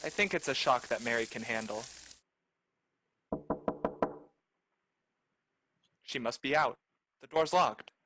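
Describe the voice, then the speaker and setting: Woman playing a low-voiced, earnest young bridegroom. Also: a little fast, noisy background. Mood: eager. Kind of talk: 1940s radio play acting.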